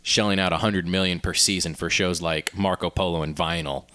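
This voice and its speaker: A man's voice: low-pitched, fairly even intonation, a little fast, bright, forceful tone, clean tone. A man hosting a podcast in casual chat, explaining things with a wry touch. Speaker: podcast host.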